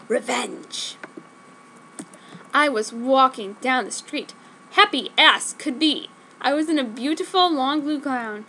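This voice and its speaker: High-voiced woman narrating with mock drama. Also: bright, forceful tone, slight background noise, rough recording. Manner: theatrical. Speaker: fanfiction reader.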